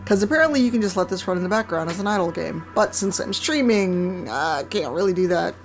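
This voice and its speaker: A woman streaming a game, chatting casually with a hoarse, croaky voice and a wry, chatty manner. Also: lowish voice, lively intonation, brisk, faint music behind. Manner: chatty, wry, reads chat aloud. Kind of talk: casual live-stream chat while gaming.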